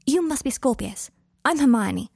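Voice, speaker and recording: Woman playing a brisk, clever, kindly witch, raised pitch. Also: expressive, vocal fry, darkish tone, no room sound, studio quality, heavily acted. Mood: kind, welcoming. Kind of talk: audiobook character voice.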